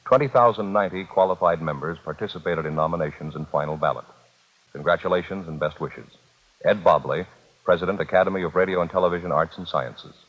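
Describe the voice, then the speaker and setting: Low, husky male radio announcer. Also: fast. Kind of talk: formal 1950s radio announcing and scripted narration. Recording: dull 1950s radio recording, husky sound.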